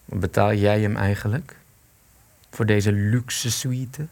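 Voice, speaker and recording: An actor playing a bitter, despairing husband in wartime hiding in a Dutch radio play, sarcastic and agitated. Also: male voice, lowish voice, steady tone, slow, a little mellow.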